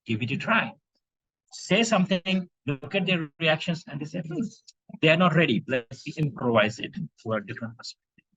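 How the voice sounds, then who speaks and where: A man from India giving an animated talk on impromptu speaking to a club, with emphatic rhetorical questions over a video call. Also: on the high side, moderate pace, no room sound, highs cut off. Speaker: speaking coach. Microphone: video call microphone.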